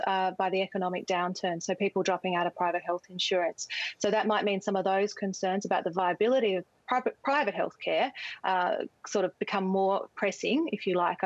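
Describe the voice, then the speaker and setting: Dry dull audio, Australian woman, economist, explanatory interview answers, medium pitch. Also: dry recording. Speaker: health economist. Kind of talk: unscripted expert interview.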